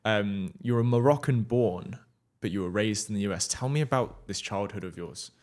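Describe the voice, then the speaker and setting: A man hosting a casual podcast interview in a low voice. He speaks earnestly and encouragingly, stumbling over a few restarts as he talks. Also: moderate pace, a little mellow. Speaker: podcast host.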